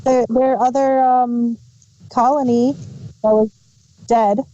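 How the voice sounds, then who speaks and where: Female co-host, relaxed and soft-edged tone, fairly high voice, slightly muffled lo-fi sound. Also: takes it slowly. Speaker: podcast co-host reviewing audio dramas. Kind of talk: casual chat. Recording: dull lo-fi voice recording.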